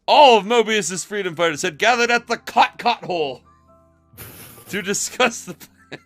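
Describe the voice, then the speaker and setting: A man's voice: high voice, animated, normal speed. A man reading fan fiction aloud on a stream in a theatrical, mocking way, given to giggles and asides. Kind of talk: dramatic, mocking story reading on a casual stream. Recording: music behind the voice. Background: music.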